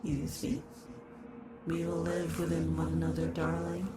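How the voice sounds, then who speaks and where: A woman voicing a possessive, obsessive eldritch horror in a scripted roleplay, speaking formally and deliberately, with a creaky, soothing yet sinister delivery. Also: low-pitched, monotone, over loud music. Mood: soothing, menacing. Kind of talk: scripted roleplay audio monologue.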